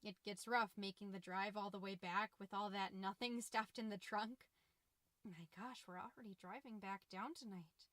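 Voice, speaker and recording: A woman's voice: medium pitch, steady tone, normal speed, fairly bright tone, no echo, close to an everyday voice. A woman playing a middle-aged, folksy, fretful suburban mom who hosts a confiding, rambling comedy podcast with anxious over-cheerfulness. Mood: anxious, hushed. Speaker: podcast host.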